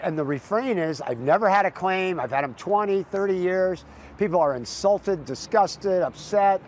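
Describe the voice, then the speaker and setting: An older man speaking as a real estate agent, interviewed outside with animated emphasis. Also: high voice, moderate pace, a little hiss or hum.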